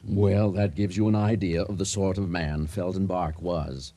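An actor playing a theatrical narrator who addresses the audience in a comic radio drama. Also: male voice, low voice, fairly even intonation, normal speed, mellow. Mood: animated storytelling. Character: theatrical, folksy male storyteller addressing an audience.

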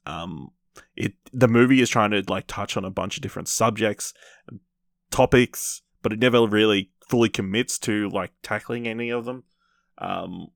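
A man hosting a podcast, casually reviewing films in a rambling, halting way with repeated false starts. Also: fairly low pitch, creaky, fairly bright tone, dry recording, clean studio sound. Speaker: podcast host. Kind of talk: casual film review chat.

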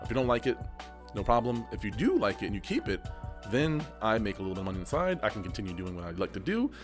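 A young adult man hosting a casual solo podcast, hoarse from losing his voice, rambling and correcting himself as he goes. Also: lowish voice, very animated, fast talker, music in the background. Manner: rambling, self-correcting, laughs often. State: hoarse, lost voice. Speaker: podcast host and esports coach.

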